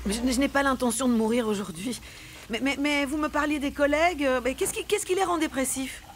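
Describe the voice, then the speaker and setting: A woman's voice: sits high, fairly quick, close to an everyday voice. An actress playing a meek, flustered female employee in a satirical radio drama, speaking hesitantly with small stammers. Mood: anxious.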